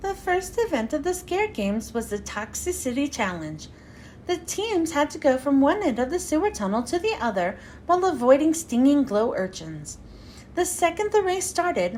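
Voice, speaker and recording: Female kids' read-aloud host: expressive, very lively intonation, high voice, slightly noisy recording. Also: moderate pace. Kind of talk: children's storybook read-aloud.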